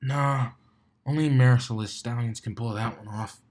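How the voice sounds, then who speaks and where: An awkward young cartoon stallion, read by a man, full of self-doubt. He mutters haltingly, as if rehearsing to himself. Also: medium pitch, steady tone, darkish tone, close to an everyday voice.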